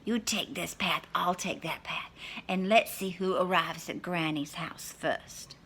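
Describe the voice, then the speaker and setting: A woman's voice: lowish voice, steady tone, measured pace, a bit bright, breathy edge, full character voice. A woman voicing a hungry, sly male wolf who tells his own storybook tale in a dramatic, scheming, wry way. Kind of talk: storybook read-aloud in character.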